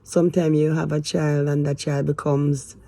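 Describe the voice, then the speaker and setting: A woman in her fifties talking hesitantly to camera in a casual, rambling way, with sighs and trailing pauses. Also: low voice, flat delivery, vocal fry, dark tone, dry recording. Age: 50s. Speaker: vlogger. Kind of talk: casual confessional talk to camera.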